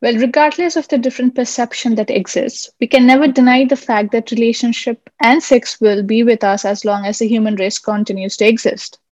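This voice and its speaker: A woman's voice: fairly high pitch, fairly even intonation, a little fast, hardly any pauses, a little mellow, no room sound, muffled recording. A woman co-hosting a podcast in a mostly scripted presenting style. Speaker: podcast co-host.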